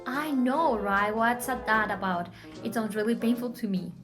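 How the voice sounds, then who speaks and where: A woman playing an eager, agreeable co-host and pitchwoman in a scripted 1920s-style radio show. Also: a little slow, over music, close to an everyday voice.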